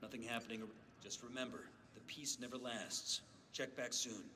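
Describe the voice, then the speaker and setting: A man's voice: mid-range voice, steady tone, normal speed, natural voice. A man playing a wary, world-weary space station contact in a video game, with a dismissive, cautionary manner. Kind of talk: scripted video game dialogue. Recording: noisy game audio capture.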